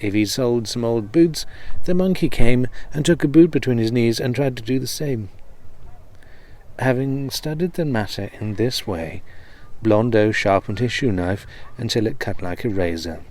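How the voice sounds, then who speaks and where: A man's voice: medium pitch, normal speed, a little mellow. A man reading an old comic tale aloud as a storyteller.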